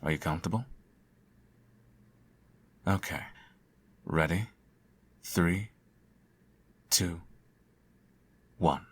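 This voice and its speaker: A man playing a teasing, doting supervillain in an intimate ASMR roleplay, suave and coaxing, with a gravelly, husky voice. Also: deep voice, extremely slow, long pauses, exaggerated voice. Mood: reassuring. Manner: suave, theatrical, coaxing, uses pet names. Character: teasing, doting male supervillain nursing his hero nemesis.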